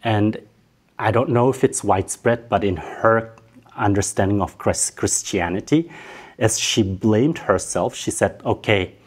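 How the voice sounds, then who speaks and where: Male interviewee, low voice, recounting his own life candidly, voicing quoted exchanges as he goes. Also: a little slow. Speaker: interviewee giving personal testimony. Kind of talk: personal life testimony to camera.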